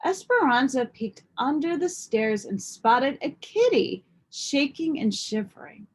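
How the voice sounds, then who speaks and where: A woman reading a children's storybook aloud in expressive narration. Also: high voice, a little slow, slightly rough. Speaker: storybook reader.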